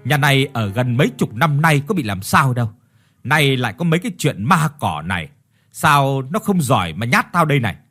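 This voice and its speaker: Man voicing a bad-tempered husband, loud scolding, husky, blustering, animated. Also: medium pitch, moderate pace, soft background music. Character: gruff, short-tempered older village husband.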